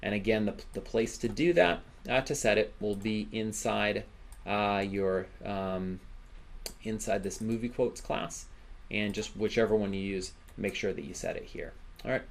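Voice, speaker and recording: Male instructor, low register with noticeable vocal fry, informal screencast teaching, talks through each step aloud. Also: unhurried, slight background noise. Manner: casual, informal explaining, often ends phrases with "right?". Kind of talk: software screencast tutorial, thinking aloud while coding.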